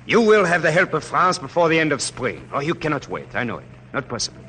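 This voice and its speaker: An actor playing an ardent, idealistic young French officer, speaking in an impassioned, oratorical radio drama style. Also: male voice, fairly high pitch, very animated, quick pace, bright, forceful tone. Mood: fervent. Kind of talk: classic radio drama acting. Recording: noisy old radio recording.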